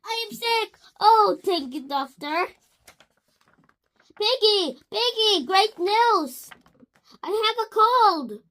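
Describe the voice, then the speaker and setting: A woman's voice: extremely high pitch, expressive, slow pace, pauses often, a bit bright, voice pushed up, full character voice. A young female reader voicing an anxious, dramatic male elephant in a storybook, exclaiming and stretching out words. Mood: overjoyed. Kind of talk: expressive children's read-aloud.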